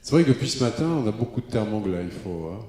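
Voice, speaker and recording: A man moderating a conference panel, brisk and pushing speakers for concrete examples. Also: on the low side, lively intonation, mellow. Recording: echoey hall with long reverb, off-mic.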